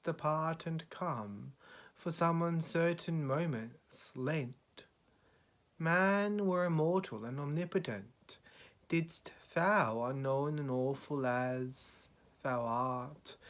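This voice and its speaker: A man reciting poetry in a solemn, measured, dramatic way, with deliberate pauses between phrases. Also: a little high. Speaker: poetry reader.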